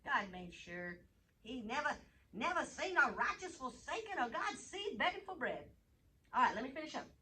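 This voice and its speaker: Female children's storyteller, bright and fairly high, animated delivery, picked up from a distance with faint noise. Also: moderate pace. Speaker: host, children's Bible storyteller. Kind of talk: reading bedtime Bible stories to children, chatting with a puppet.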